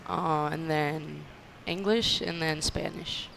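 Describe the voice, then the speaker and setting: Young woman, gravelly. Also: low-pitched, takes it slowly, slight background noise, low-quality recording. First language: Albanian. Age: young adult. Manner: relaxed, plainspoken, hesitant fillers. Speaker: college athlete guest. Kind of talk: casual interview answers. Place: restaurant. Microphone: headset.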